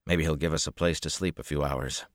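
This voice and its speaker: A weary, blunt rogue, voiced by a male narrator. He speaks flatly in a deep, low voice. The recording is dry and close. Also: fairly quick, no echo.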